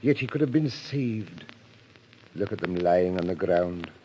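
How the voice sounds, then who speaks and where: Solemn, slow, low-voiced older-style radio man. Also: animated, mellow, dull audio. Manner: solemn, measured, ponderous. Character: grave, philosophical official pronouncing on the dead. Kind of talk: 1940s radio drama acting. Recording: old radio recording, faint noise.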